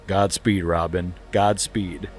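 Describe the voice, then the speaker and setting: A man voicing a huge, folksy villain businessman in a comic script reading, with a low drawl and a hollow, menacing manner. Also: steady tone, takes it slowly, breathy edge, faint music behind, full character voice. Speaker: podcast host.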